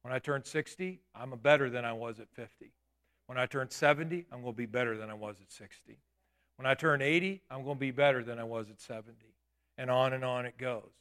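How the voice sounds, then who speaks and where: A man in his late fifties preaching a sermon in a church, with emphatic declarations and quieter asides. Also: mid-range voice, brisk, clean tone. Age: 50s. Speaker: pastor. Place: church sanctuary.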